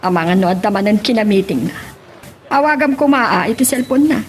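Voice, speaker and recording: A woman's voice: mid-range voice, fairly quick, close to an everyday voice. A woman with a Filipino accent playing a concerned, devout mother-in-law in an amateur audio drama, with noise behind her. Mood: helpful. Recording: steady background noise.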